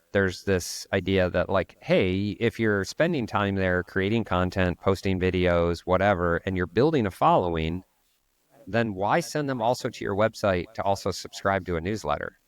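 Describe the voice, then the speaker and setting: A man with a low, deep voice talks through marketing steps one at a time on a casual podcast. He thinks aloud as he goes. The sound is dry and close, with no room around it. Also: dry recording. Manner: explains step by step, thinking aloud. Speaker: podcast co-host.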